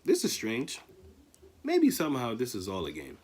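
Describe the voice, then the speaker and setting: A man's voice: medium pitch, wildly swooping pitch, normal speed, darkish tone, husky. A man voicing a spirited young female elephant in a storybook reading. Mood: surprised.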